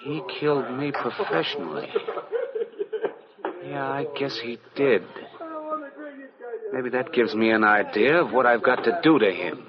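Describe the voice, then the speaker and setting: An actor playing a proud, embittered stage player, curt and sardonic, in old-time radio drama style. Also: male voice, medium pitch, a little slow, pauses often, natural voice. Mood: bitter, brooding. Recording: dull old radio recording, crowd noise.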